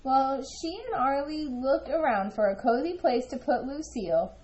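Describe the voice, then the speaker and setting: A woman reads a children's picture book with a fairly high, very melodic voice. Her tone is soft rather than sharp, and she narrates with animated expression. The recording is a little dull and lo-fi. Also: moderate pace. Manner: animated, acts out dialogue. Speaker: storybook reader.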